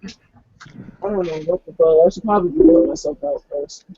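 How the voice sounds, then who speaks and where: A man with a high, creaky, mellow voice chats as a tabletop game player. He is blunt and swears freely, on a dry, slightly muffled recording. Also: very animated, normal speed, no room sound. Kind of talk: casual game chat.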